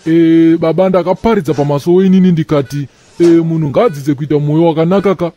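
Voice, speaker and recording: A man acts as a preachy Zimbabwean church elder in an animated comedy. His mellow voice sits a little high and moves quickly. He sermonizes with indignant, sanctimonious airs. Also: lightly acted.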